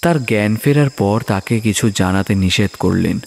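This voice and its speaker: A man's voice: fairly low pitch, normal speed, creaky. A man narrating a scripted horror story in a dramatic storytelling style.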